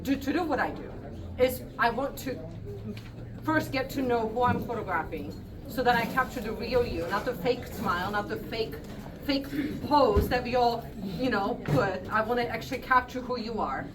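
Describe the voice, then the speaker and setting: A woman's voice: on the high side, normal speed. A woman from the Republic, a photographer giving an earnest, personal speech to a party crowd with small grammar slips. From Czech Republic. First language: Czech. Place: indoor event hall. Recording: distant mic in a noisy crowded hall.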